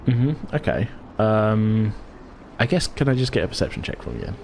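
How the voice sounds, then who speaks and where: A man's voice: on the low side, sing-song, moderate pace, creaky, a little mellow, a little hiss or hum. A man running a tabletop game as game master, narrating casually in a rambling, self-correcting way.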